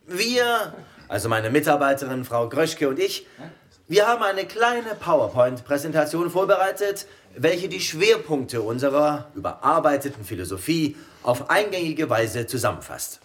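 A self-important new boss in a radio drama, talking down to his staff with mock politeness. His voice sits high and swoops widely as he sneers. Every phrase sounds like a speech to an audience. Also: sing-song, moderate pace, a bit bright. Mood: formal. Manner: pompous, condescending, mocking. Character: pompous new male company boss.

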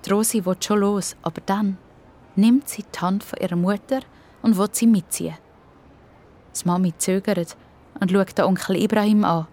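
A woman's voice: medium pitch, steady tone, normal speed, warm tone. A woman with a Swiss accent narrating a scripted children's story.

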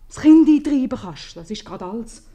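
A woman's voice: high-pitched, expressive, normal speed, mellow. A woman playing a nagging, folksy innkeeper's wife with a Swiss German accent in a 1950s radio comedy. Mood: scolding, bitter. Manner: scolding, folksy. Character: nagging, plain-spoken innkeeper's wife, mother of a daughter.

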